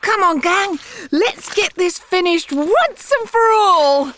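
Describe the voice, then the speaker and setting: High, lilting cheeky schoolgirl. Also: animated, takes it slowly, fairly bright tone, a little hiss or hum, heavily acted. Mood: brisk. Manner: polite with sly sarcasm. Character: cheeky, clever schoolgirl, drily polite. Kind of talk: children's audiobook character acting.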